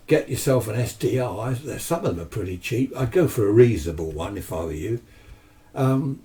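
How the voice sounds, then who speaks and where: An older British man with a low, mellow voice talks in a casual, chatty way. He rambles enthusiastically, often putting questions to himself and answering them. The microphone sits some distance from him. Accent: British English. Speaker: amateur radio hobbyist presenter. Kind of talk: casual rambling chat to camera.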